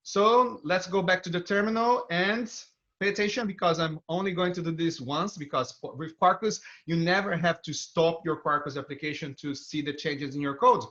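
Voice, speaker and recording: A man's voice: fairly high pitch, steady tone, normal speed, bright, forceful tone. A man with a Brazilian accent gives an upbeat, enthusiastic technical talk, walking viewers through a live coding demo. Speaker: developer advocate presenting a tech talk. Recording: dull, band-limited video stream audio.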